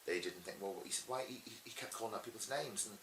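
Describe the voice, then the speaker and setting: Low-voiced Welsh man chatting in interview. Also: quick pace. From Swansea, Wales. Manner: chatty, animated retelling with acted-out quotes. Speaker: pantomime dame and cabaret performer being interviewed. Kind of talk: casual interview chat. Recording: roomy echo with faint hiss.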